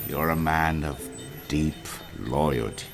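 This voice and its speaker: A weary one-legged railway laborer. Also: male voice, extremely low pitch, creaky, heavily acted. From China. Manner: slow, humble and sorrowful. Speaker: street musician. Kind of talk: audio drama acting. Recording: music playing underneath.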